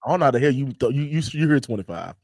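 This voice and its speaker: A man's voice: medium pitch, animated, rapid-fire, darkish tone, dry recording. A man in his thirties joking around on a casual webshow, repeating himself and ending lines with "man.". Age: 30s. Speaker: co-host. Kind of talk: casual chat.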